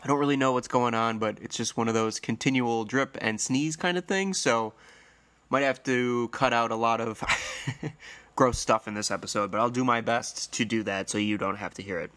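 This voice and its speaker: A man in his thirties hosts a casual solo podcast with a congested, slightly nasal and hoarse voice. His tone is bright, and he tells stories in a rambling, friendly way with plenty of fillers. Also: medium pitch, moderate pace. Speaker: podcast host.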